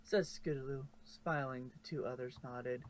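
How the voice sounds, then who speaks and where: A man's voice: medium pitch, steady tone, measured pace, a loud crowd. A man narrating a fan-written story aloud in a storybook style. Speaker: narrator of a fan fiction reading. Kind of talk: storybook narration with casual asides.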